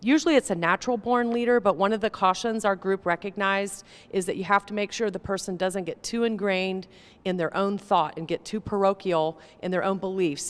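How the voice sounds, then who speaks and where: A woman's voice: medium pitch, clean tone. Conversational woman in a reverberant hall. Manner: conversational, asks "right?". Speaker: seminar participant. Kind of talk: sharing group thoughts at a seminar.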